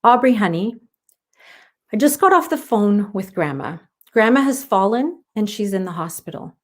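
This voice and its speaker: A woman's voice: medium pitch, expressive, normal speed, lightly acted. A woman voicing a kindly, caring mother in a children's story, with a reassuring manner. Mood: serious.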